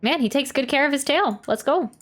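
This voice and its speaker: Casting: female game streamer, fairly high voice, chatty, casual thinking-aloud commentary over game music. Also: expressive, fairly quick. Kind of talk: casual livestream reaction and commentary.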